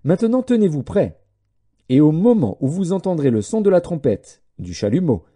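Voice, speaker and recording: A man's voice: a little high, sing-song, moderate pace, mellow, pitched above the performer's own voice. A man voicing a proud ancient king in a declamatory audiobook scripture reading. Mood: angry, threatening.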